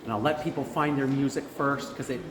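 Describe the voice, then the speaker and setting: A man's voice: medium pitch, steady tone, brisk, noisy background. A man leading a choir rehearsal, explaining things in an informal, encouraging and self-deprecating way. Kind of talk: instructive rehearsal talk. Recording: echoey reverberant room with piano music.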